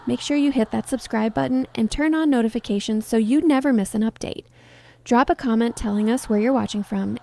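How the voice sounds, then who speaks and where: Female narrator for scripted story drama: higher pitch, very mellow tone. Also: slight background noise.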